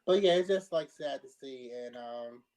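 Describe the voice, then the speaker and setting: A man giving his opinion in a casual panel discussion, in a measured, explanatory and somewhat halting way. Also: medium pitch, darkish tone. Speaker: panelist on a pop culture discussion show.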